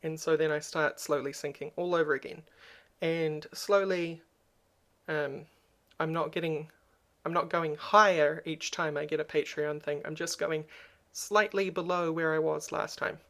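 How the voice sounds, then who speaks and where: A speaker with a noticeably crackly voice talks straight to camera in a personal vlog. The delivery is flat and low-key, with little rise and fall. They sound subdued and hesitant, pausing often on ums. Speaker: YouTuber.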